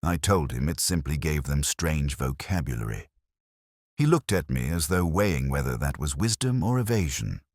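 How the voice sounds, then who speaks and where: A man narrating a scripted first-person detective story in a measured, brooding, literary manner. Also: very deep voice, no room sound, studio quality.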